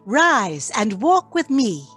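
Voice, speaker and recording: A solemn, ageless spirit in a theatrical radio play. The voice is somewhat high and moves dramatically up and down, gentle yet commanding. Soft music sits underneath. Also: female voice, very animated, slow, slightly rough, heavily acted.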